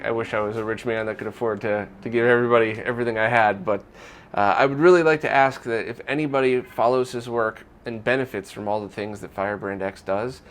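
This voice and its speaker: Casual, candid man hosting tech news. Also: medium pitch. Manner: conversational, candid, opinionated. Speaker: host of a retro gaming news roundup.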